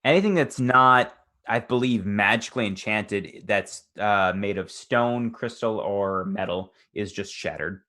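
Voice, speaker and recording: Bright, laughing tabletop player. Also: male voice, lowish voice, a little slow. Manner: hesitant, laughing. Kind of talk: casual tabletop play chat.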